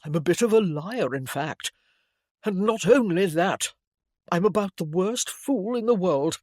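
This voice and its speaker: Narrator voicing an earnest, emphatic young gentleman. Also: mid-range voice, expressive, a little slow, no echo, studio quality. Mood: remorseful. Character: earnest, apologetic young gentleman, indignant at his sister.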